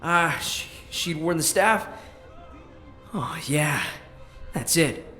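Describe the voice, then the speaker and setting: A man in a fandub playing an anxious, protective man who mutters haltingly as he talks himself through his worries. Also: a little high, lively intonation, pushed and bright, echoey room, full character voice. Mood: anxious reasoning. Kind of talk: fandub voice acting, dramatic monologue. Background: music.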